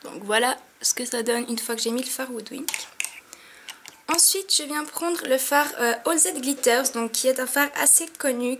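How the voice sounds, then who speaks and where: A woman's voice: high voice, fairly bright tone, slight background noise. A young woman with a cold giving a chatty makeup tutorial at home, with many fillers. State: has a cold, sniffling. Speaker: beauty vlogger. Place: at home, in front of a mirror.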